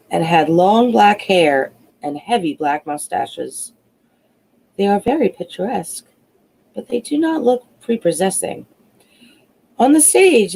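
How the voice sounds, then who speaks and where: A woman reading a classic novel aloud as an audiobook narrator, expressive but a little halting in places. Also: fairly low pitch, vocal fry, low-quality recording. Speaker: audiobook reader.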